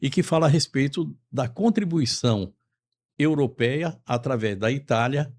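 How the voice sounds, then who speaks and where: A man's voice: mid-range voice, animated, normal speed. A man from Bahia hosting a talk show, chatting in a jokey, rambling way. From Bahia, Brazil. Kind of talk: casual talk show chat.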